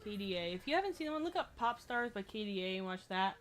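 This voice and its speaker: A young woman streaming a game with a friend, chatting casually in a loud, projecting voice. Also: mid-range voice, big pitch swings, quick pace, crowd noise. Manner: chatty, showing off plushies. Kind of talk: casual chat.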